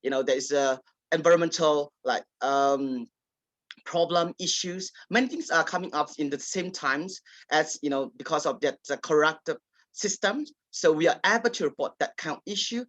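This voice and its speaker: A man's voice: a little high, fairly bright tone, no room sound. Man, dry close sound. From Kachin State, Myanmar. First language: Kachin. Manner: halting, many fillers and restarts. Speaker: local journalist, panelist. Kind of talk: panel discussion answer.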